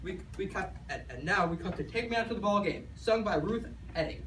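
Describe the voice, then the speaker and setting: A man plays an earnest 1920s radio announcer with a high, bright voice. He rattles through news and sports commentary quickly, tripping on words here and there. The amateur recording has a clear echo. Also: expressive. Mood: excited. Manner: brisk, stumbling over lines. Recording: echoey, faintly noisy recording from far off.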